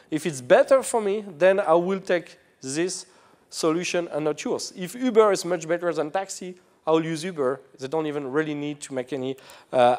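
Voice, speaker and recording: A man's voice: on the high side, darkish tone. A man giving a conference keynote in a string of rhetorical questions. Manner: string of rhetorical questions, non-native phrasing. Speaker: keynote speaker.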